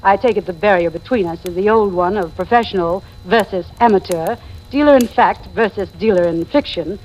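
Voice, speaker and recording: An actress in 1940s radio style playing a poised, middle-aged mystery writer with a refined, articulate delivery and dry wit. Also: female voice, mid-range voice, fairly quick, a little mellow, lightly acted, rough recording. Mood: dry, sparring. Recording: vintage radio drama with music.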